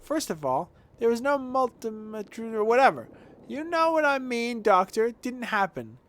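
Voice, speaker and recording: A man's voice: very high voice, sing-song, moderate pace, a bit bright, voice pushed up, full character voice. A man voicing a brash, indignant boy who leads a street gang in a video game, slangy and blustering. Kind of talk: video game dialogue read aloud.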